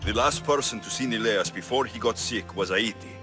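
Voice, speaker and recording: An actor playing a blunt, wry mercenary hero in a video game set in ancient Greece, dry and direct. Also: male voice, fairly low pitch, brisk, over music, natural voice. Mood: businesslike. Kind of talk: video game character acting.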